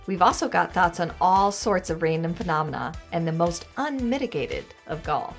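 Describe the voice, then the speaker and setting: A woman's voice: lowish voice, animated, normal speed. A woman co-hosting a podcast, with casual comedic chat and promo lines. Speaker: podcast co-host. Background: music.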